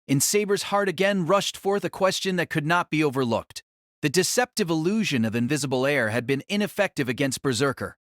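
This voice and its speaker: Man, fairly high voice, dry close sound, audiobook narrator, formal literary delivery, dramatic storytelling. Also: lively intonation, brisk, a bit bright, dry recording.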